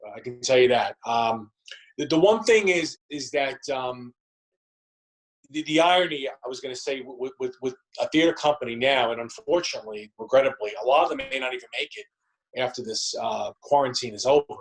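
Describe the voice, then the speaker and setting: A man talking casually as an interview guest, halting and often repeating his words and phrases. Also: mid-range voice, rough recording. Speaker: interview guest, theater company co-founder.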